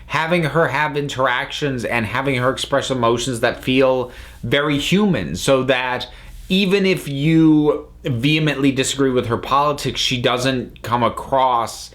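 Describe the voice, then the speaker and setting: A man hosting a review vlog, talking casually and thoughtfully, with halting phrasing that often doubles back on words. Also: mid-range voice, a bit bright. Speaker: review channel host. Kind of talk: casual spoken review to camera.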